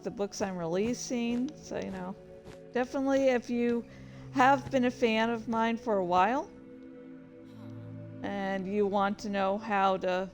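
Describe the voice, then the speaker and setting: A woman's voice: a little high, a little mellow. A woman hosting a livestream, chatting casually and rambling to her viewers in a playful, easily sidetracked way. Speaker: livestream host and streamer.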